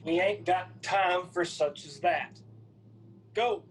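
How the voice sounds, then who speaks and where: A man's voice: high-pitched, slow pace, slight background noise. An actor playing an earnest, loyal young Appalachian man in a radio drama. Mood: urgent.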